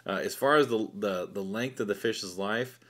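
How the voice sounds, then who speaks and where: Man co-host, somewhat low voice; patient explainer, casual, repeats himself. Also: normal speed, fairly bright tone. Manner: explanatory, fatherly, with uh fillers and repeats. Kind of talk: casual home video chat.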